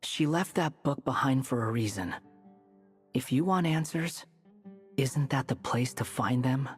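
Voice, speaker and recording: Man playing aloof young game character, medium pitch, curt, sardonic, dry delivery. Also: a little slow, soft background music, lightly acted. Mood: probing. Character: aloof, sardonic young male wanderer turned storybook stray cat. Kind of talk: video game voice acting.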